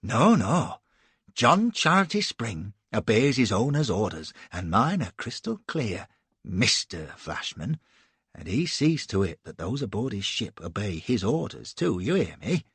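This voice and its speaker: A man voicing a cold, menacing middle-aged slave-ship captain in an audiobook, quietly threatening and commanding. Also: fairly low pitch, sing-song, a little slow, breathy edge, no echo, exaggerated voice. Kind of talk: audiobook character voice.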